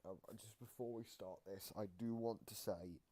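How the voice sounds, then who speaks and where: Low-voiced man rambling through gaming commentary. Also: steady tone, normal speed, mellow. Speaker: video host. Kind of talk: casual gaming analysis commentary. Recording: noisy home microphone recording.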